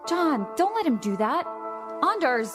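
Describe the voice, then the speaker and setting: A woman's voice: sits high, big pitch swings, unhurried. A woman acting a drunk, alarmed camper in an audio drama, with music behind her. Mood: alarmed, scolding. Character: drunk, alarmed young woman camping in the woods.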